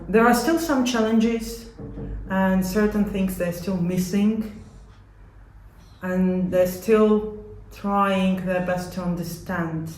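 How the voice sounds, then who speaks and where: A woman's voice: on the low side, a little mellow, a little hiss or hum. Latvian-accented woman in an echoey room. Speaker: community support organisation worker being interviewed. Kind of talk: interview answers, explanatory.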